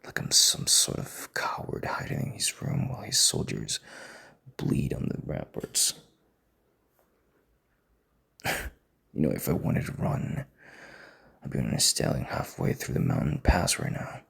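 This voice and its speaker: A man playing a proud, stubborn king in an intimate audio drama, commanding, with breathy, gravelly half-whispers. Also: extremely low pitch, very animated, normal speed, fairly bright tone, full character voice. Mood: scornful, defensive. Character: proud, stubborn, commanding young king, bitter rival husband.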